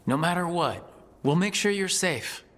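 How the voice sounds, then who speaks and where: A man playing a steady, protective, gently teasing hero in a fantasy video game, speaking conversationally. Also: high-pitched, measured pace. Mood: reassuring. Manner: reassuring, conversational. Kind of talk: naturalistic video game acting.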